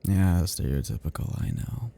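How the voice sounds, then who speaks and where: A man playing an ancient, flirtatious, possessive vampire in an intimate ASMR roleplay, speaking to the listener softly and huskily in a halting, teasing, casually menacing way. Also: deep voice, lively intonation, dry recording. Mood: friendly, teasing. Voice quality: soft, husky, gravelly.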